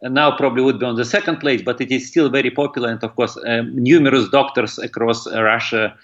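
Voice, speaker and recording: A middle-aged man speaks at a middle pitch over a somewhat dull, lo-fi line. He answers as a guest in an interview, haltingly and searching for words. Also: steady tone, fairly bright tone. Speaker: guest, breathing teacher.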